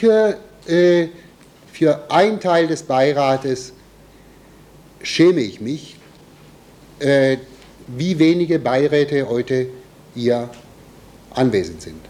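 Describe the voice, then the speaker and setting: Slow, halting man with a mellow voice. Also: fairly high pitch, animated. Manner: halting, hesitant, searching for words. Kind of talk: spontaneous critical statement at a meeting.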